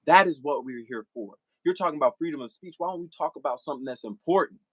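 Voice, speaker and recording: Fiery preachy man, fairly high voice. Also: fast, breathy edge, dry recording. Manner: preachy, rhetorical, repeats phrases for emphasis. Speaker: streamer addressing live chat. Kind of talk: impassioned livestream monologue.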